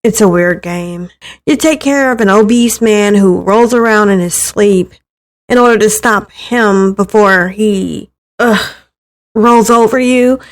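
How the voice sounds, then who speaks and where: A woman's voice: medium pitch, measured pace, natural voice. A woman voicing an upbeat male gaming YouTuber who talks casually to his fans in a story reading. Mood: casual, joking.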